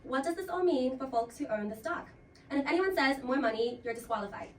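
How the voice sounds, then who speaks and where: A woman's voice: high-pitched, lively intonation, brisk, very noisy. A woman hosting a student role play like a game show, with a playful, jokey, theatrical scripted delivery. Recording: roomy, distant mic, loud music.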